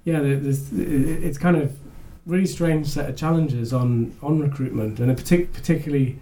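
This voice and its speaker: A man's voice: fairly high pitch, moderate pace, warm tone, rough recording. Rambling, self-correcting podcast host man. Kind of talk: conversational interview chat.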